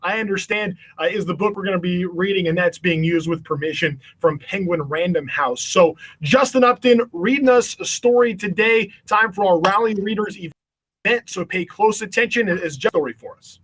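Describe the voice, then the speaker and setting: A man's voice: sits high, normal speed, fairly bright tone, breathy edge, poor audio. A man with an American accent hosting a children's online event in an upbeat, chatty style. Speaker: event host.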